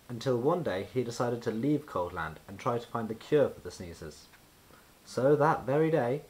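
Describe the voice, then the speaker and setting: A man reading a children's storybook aloud as narrator, with expressive, deliberate storytelling and emphasis on repeated words. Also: mid-range voice, poor audio. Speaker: children's book reader.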